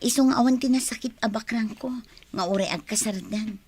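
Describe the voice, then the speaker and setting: A woman's voice: fairly high pitch, moderate pace, darkish tone, slightly rough. A woman with an Ilocano accent playing a young, resilient, dutiful village daughter in a radio drama. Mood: composed.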